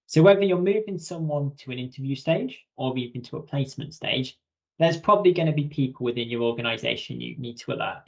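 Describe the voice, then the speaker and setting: Gravelly man giving webinar tips. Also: medium pitch. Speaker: software trainer presenting tips. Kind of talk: webinar presentation, conversational instruction. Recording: dull lo-fi webinar audio.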